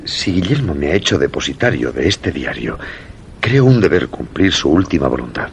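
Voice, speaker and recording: A man's voice: very deep voice, big pitch swings, normal speed, gravelly, a little hiss or hum, heavily acted. An actor playing a grave, earnest man in a suspense radio drama, with an accent from Spain. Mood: grave, reproachful. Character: grave, earnest man convinced something terrible looms.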